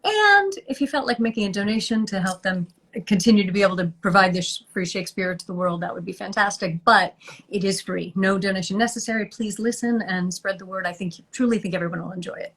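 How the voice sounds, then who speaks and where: A woman actress being interviewed on a video call, chatty and lively, often laughing between thoughts. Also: mid-range voice, fast talker. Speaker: actress, guest. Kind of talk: interview chat.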